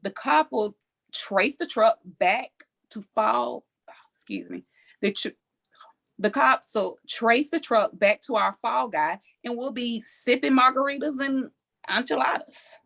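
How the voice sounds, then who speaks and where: A woman's voice: fairly high pitch, measured pace, gravelly, a bit bright, full character voice. A woman playing a playful, scheming criminal girlfriend at a casual script read-through. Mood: smug, scheming.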